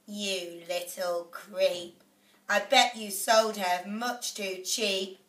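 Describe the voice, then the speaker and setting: A woman voicing a bossy middle-aged mother who scolds in loud outbursts. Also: medium pitch, steady tone, very slow. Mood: scolding. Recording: echoey room, off-mic.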